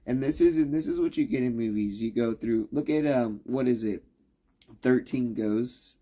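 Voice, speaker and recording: A man's voice: mid-range voice, normal speed, warm tone. A man on a livestream, talking in a profane, rambling rant that keeps restarting its sentences and challenges the listener.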